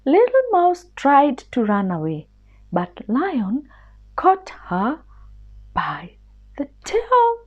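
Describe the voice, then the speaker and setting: A woman reading a story aloud for children in an expressive, deliberate, teacherly way. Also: sits high, narrow, tinny audio.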